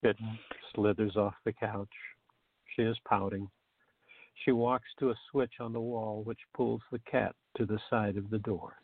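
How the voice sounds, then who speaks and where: A man's voice: on the low side, fairly even intonation, unhurried, husky, dry recording. A man reading scripted narration for a radio play, on muffled, narrow-band audio.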